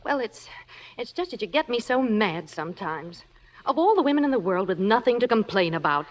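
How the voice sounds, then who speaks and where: An actress playing a sensible, refined playwright's wife in a 1950s radio drama, with polished theatrical delivery. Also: female voice, mid-range voice, sing-song, a little fast, natural voice. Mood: annoyed. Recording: slightly noisy old radio recording.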